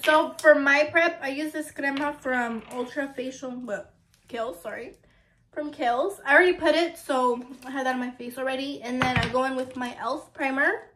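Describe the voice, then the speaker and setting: Casting: early-twenties woman, under the weather, high-pitched and relaxed, phone vlog. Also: normal speed, a little hiss or hum. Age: 20s. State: slightly sick, voice a little deep. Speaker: YouTuber. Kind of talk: casual chat. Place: bedroom. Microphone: phone camera.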